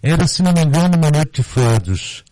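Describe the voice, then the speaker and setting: A middle-aged man with a Brazilian Portuguese accent, explaining in a casual radio interview in a halting way, often repeating words. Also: fairly high pitch, sing-song, dark tone, no room sound, poor audio. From Brazil, born in Rio de Janeiro, raised in Recife. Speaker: guest, storyteller and theatre group director. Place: radio studio, dry close sound.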